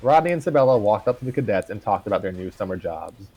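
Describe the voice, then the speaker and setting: A man's voice: on the low side, animated, a little mellow, breathy edge, faint music behind. A man hosting a live stream, reading a fan story aloud and throwing in side comments. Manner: reads narration with quick voices and side comments. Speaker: story reader and streamer host.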